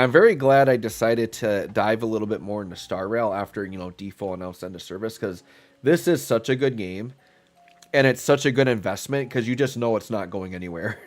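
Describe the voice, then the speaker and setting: Stuffy-voiced man streaming a game. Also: lowish voice. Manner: relaxed, rambling, thinks aloud with fillers. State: stuffy, getting over a cold. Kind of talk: casual live-stream commentary while playing. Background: faint background music.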